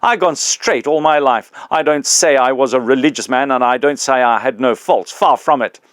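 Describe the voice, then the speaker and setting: Male reader doing big ghost: loud, aggrieved working man, indignant, dry booth sound. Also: mid-range voice, big pitch swings, measured pace, dry recording, voice pushed up. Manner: loud, indignant, coarse working-class speech. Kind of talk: audiobook reading.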